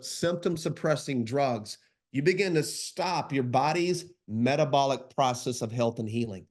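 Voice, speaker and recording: A man's voice: medium pitch, a little mellow. Congested male podcast co-host, tired, emphatic and insistent in delivery. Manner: emphatic, insistent, repeats phrases for stress. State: congested, runny nose; sleep deprived. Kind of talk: emphatic health monologue.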